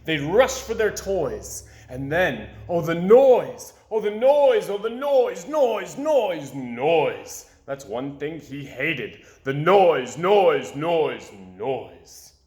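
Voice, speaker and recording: A man reading a children's storybook aloud in a theatrical, dramatic way with drawn-out repetition and emphatic pauses. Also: fairly high pitch, very animated, a little mellow, echoey room.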